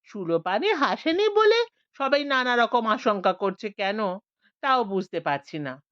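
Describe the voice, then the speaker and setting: Woman as a scoffing old man of science, lively high voice, lo-fi dry take. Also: unhurried. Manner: dismissive, matter-of-fact. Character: old male retired scientist, skeptical, dry.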